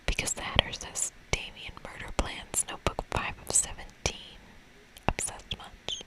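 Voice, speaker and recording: A woman's voice: moderate pace, natural voice, rough recording. A woman voicing a brash, nosy, hot-headed young male demon from a video game, pestering and indignant, in a whisper. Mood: accusing. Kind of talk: whispered video game dialogue read aloud.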